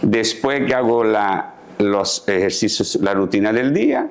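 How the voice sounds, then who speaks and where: A man in his sixties, a tailor, calmly explaining his daily routine in a sit-down interview, recorded on a lapel mic in a living room set. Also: lowish voice, unhurried. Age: 60s. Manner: calm, explanatory, gestures while talking. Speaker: guest, tailor. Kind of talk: casual interview talk.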